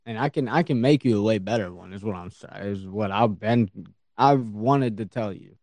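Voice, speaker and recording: Laid-back low-voiced man on a podcast. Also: normal speed, darkish tone, no room sound. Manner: laid-back, full of fillers and restarts. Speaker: podcast co-host. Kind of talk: casual podcast chat.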